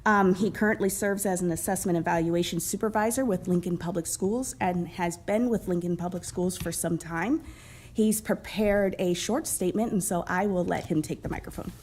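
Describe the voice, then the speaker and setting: A woman's voice: lowish voice, normal speed, darkish tone, a little hiss or hum. A woman introducing appointees at a city council meeting, friendly, with frequent ums. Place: council chamber.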